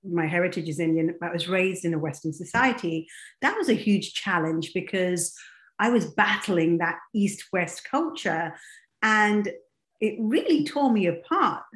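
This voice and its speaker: A woman's voice: fairly low pitch, unhurried, a bit bright. A middle-aged woman, a life coach telling her own story as an interview guest in an earnest, open way. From raised in England. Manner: earnest and open, speaks frankly. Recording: echoey room.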